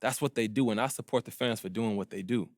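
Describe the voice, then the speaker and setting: An actor playing a cocky young American basketball player in a video game, talking in a breezy, slangy way. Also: male voice, on the low side, fast talker, no room sound, clean studio sound, close to an everyday voice. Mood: defiant, breezy. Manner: self-assured, breezy, slangy. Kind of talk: naturalistic game cutscene dialogue.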